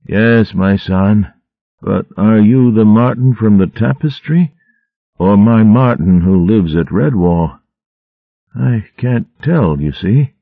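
Frail old mouse abbot, slow and rambling, read by a man. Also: lowish voice, lively intonation, no echo, narrow, tinny audio, voice pushed up, full character voice. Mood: delirious. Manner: slow feeble wandering speech.

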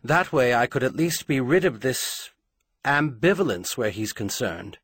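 A man's voice: medium pitch, big pitch swings, unhurried, fairly bright tone, slightly rough, no room sound, exaggerated voice. A man voicing a grieving but resolute young queen in an audiobook, speaking earnestly and emphatically in formal phrases. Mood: bitter, resolute.

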